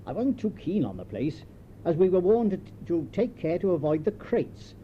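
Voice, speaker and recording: An older British man reading his own written memoir in a measured, wry, understated storytelling manner. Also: high-pitched, very animated. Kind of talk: scripted memoir narration. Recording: dull lo-fi home tape, faint noise.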